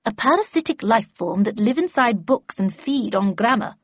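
A woman voicing an imperious, brisk older lady mentor in an audiobook, with clipped, commanding and pompous delivery. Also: fairly high pitch, lively intonation, breathy edge, dry recording, muffled recording. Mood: lecturing. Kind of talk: audiobook character dialogue.

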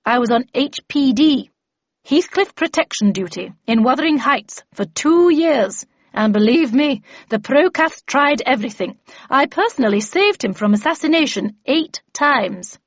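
A woman voicing a brisk, imperious, battle-hardened older female mentor in an audiobook, with clipped, commanding, matter-of-fact delivery. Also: sits high, lively intonation, dry recording, dull audio, voice pushed up. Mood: emphatic, proud. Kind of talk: audiobook character voice.

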